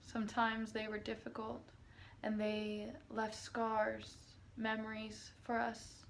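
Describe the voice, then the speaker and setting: A woman speaking as a spiritual healer and meditation guide, addressing the listener in a halting way with long pauses and drawn-out words. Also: on the high side, completely flat delivery. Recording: noticeable background noise.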